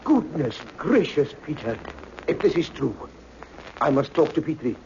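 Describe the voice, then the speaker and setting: Alarmed professor, muffled old radio. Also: male voice, on the high side, sing-song, measured pace, warm tone. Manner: grave. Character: alarmed male professor receiving grave news. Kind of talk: 1960s radio drama acting. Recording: dull, noisy old radio recording.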